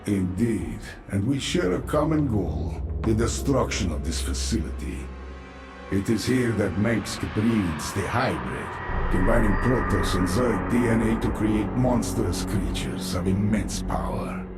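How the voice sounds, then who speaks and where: A vengeful infested man speaks in a lowish, measured, grave voice. The sound is echoey over music. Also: expressive. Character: infested male human, grim and vengeful.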